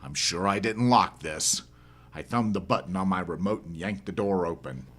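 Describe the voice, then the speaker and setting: Male author-narrator, deep and rough-edged, delivering first-person horror prose with dramatic intensity. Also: moderate pace, a bit bright. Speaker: author reading own audiobook.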